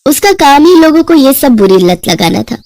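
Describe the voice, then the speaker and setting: A woman tells a moral story from a script. Her voice is notably high, and she speaks as a storyteller narrating events. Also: very animated, normal speed, a little mellow, dry recording.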